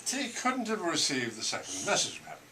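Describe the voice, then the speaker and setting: A man's voice: fairly high pitch, wildly swooping pitch, brisk, sharp tone, full character voice. A man playing a formal, deferential household butler at a stage play table reading. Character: deferential male household butler serving a society hostess. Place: library room. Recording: distant mic, faint room noise.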